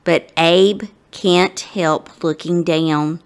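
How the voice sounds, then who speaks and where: Slow-paced woman reading a storybook aloud. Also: fairly low pitch, vocal fry, clean tone. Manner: expressive, dramatic, addresses the listeners directly. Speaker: guest story reader. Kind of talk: animated storybook read-aloud for children.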